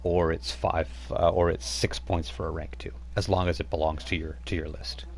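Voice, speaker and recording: A man's voice: sits low, level tone, normal speed, vocal fry, slight background noise. A man acting as game master, casually explaining tabletop rules step by step.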